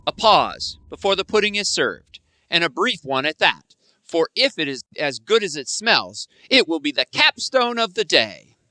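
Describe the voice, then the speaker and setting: An actor playing a gentle, grateful, peace-keeping family man in a radio play. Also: a little high, big pitch swings, normal speed, dry recording. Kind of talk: live radio play acting.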